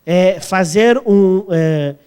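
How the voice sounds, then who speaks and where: A man with a Brazilian accent interpreting a sermon phrase by phrase, sometimes halting as he searches for wording. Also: sits high, a little mellow, clear, resonant tone.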